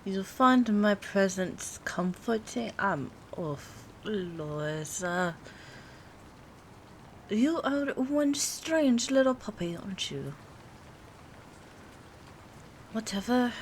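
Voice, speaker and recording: A woman acting an aloof, teasing old vampire in an ASMR roleplay, speaking softly and formally with hesitant pauses, often near a whisper. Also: mid-range voice, animated. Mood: teasing, fond. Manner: formal, hesitant, haughty phrasing with drawn-out pauses. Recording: clear background ambience noise. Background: rain, city ambience, soft music.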